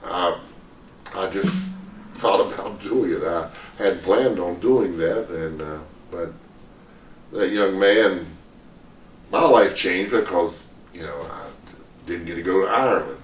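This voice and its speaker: A man's voice: low-pitched, takes it slowly, roomy sound, distant mic, slight background noise, low-quality recording. An older man, a singer-songwriter, talking reflectively and hesitantly in an unscripted interview, rambling a little as he goes. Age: older, 70s or above.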